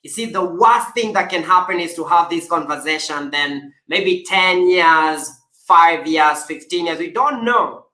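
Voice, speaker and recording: A man's voice: on the high side, unhurried, few pauses, bright, forceful tone, roomy sound. A man hosting a coaching conversation, speaking earnestly and urging listeners to take action. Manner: earnest, motivational, with emphatic exhortations. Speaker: life coach host.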